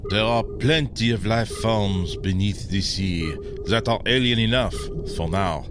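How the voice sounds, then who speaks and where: An actor playing a calm, learned professor who speaks in a measured, formal way. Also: male voice, lowish voice, background noise, close to an everyday voice. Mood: musing. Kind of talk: audio drama acting.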